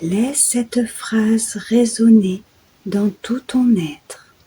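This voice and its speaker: A woman leading a guided sleep meditation in a soft, soothing, hushed voice that often drops toward a whisper. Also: fairly high pitch, extremely slow, breathy edge, low-quality recording. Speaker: guided meditation host and yoga nidra guide.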